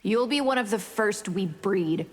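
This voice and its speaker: A woman playing a predatory, coldly mocking vampire warrior in a fighting game, with a disdainful, drawn-out, menacing delivery. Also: medium pitch, expressive, big-room sound. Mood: cold menace. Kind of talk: fighting game character acting.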